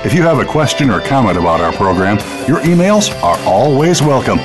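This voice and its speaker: A man's voice: mid-range voice, sing-song, brisk. A man reading radio promos and station announcements in an upbeat, polished announcer manner. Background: music bed.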